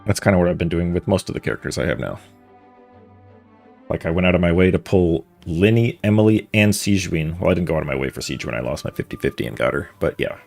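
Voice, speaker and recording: A man streams a game with a low, gravelly voice full of fry. His commentary is casual, dry and offhand, with faint music underneath. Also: lively intonation, fast talker, a little mellow. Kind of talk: casual live playthrough commentary.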